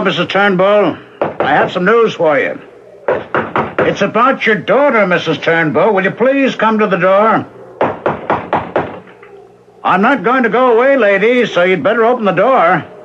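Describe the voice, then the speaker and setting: An actor in a 1970s radio drama playing an earnest former hobo, insistent and pleading in manner. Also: male voice, high voice. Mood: insistent, urgent. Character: earnest, persistent working man, former hobo. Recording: dull, noisy old radio recording.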